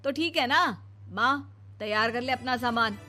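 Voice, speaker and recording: A woman's voice: a little high, a little slow, over music, close to an everyday voice. A woman voicing a selfish, impatient young man, the adult son in a family story, curt and demanding. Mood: defiant.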